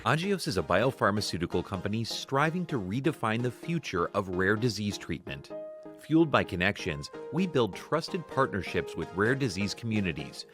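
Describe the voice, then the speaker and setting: A man reading a scripted advertisement in a polished, smooth delivery. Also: lowish voice. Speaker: ad announcer. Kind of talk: scripted ad read. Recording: studio read over music.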